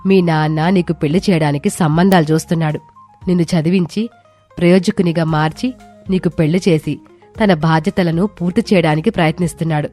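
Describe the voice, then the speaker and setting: Woman doing a gentle village mom in a moral story, voice dropped low, faint music. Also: steady tone, a little fast. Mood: earnest, soothing. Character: gentle, understanding village mother pleading for her husband. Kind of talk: scripted story dialogue.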